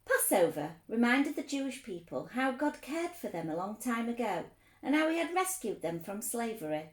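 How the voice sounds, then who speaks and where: A woman reading a story aloud for children, expressive, explaining things simply as a teacher would. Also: on the high side, moderate pace, roomy sound.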